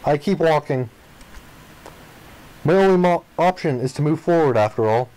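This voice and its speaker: A man reading the narration and dialogue of a visual novel aloud in an expressive style. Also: a little high, normal speed, low-quality recording.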